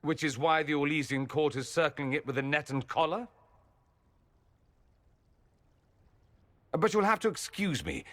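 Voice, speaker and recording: A man's voice: a little high, fairly bright tone, no echo. An actor playing a witty, vain and sardonic nobleman mage with theatrical, flippant delivery in a video game. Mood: arch, playful. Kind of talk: dramatic video game dialogue.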